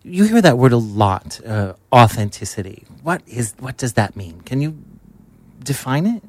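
A talk show host puts questions to the guests, backing up and restarting mid-sentence. The voice sits in a middle pitch and is recorded close and dry. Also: big pitch swings, normal speed, no echo. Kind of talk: interview questions.

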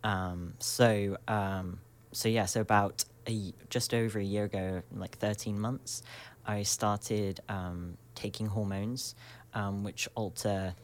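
A man in his twenties, a radio guest, speaking reflectively and searchingly with many pauses and soft laughs. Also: fairly low pitch, steady tone. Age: 20s. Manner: searching and thoughtful, many ums, laughs often. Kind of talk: reflective radio interview talk.

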